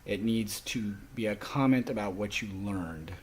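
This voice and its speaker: A man speaking as a college instructor, explaining course rules to a class in an instructional way with frequent short pauses. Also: lowish voice, a little hiss or hum. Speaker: college sign language instructor.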